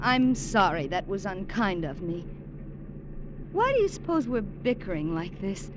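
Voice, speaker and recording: A woman's voice: medium pitch, big pitch swings, measured pace. An actress playing a young woman on the run who narrates her ordeal in a 1950s radio drama, earnest and stammering under tension. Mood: bickering. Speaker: narrator and heroine. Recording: hissy old radio recording.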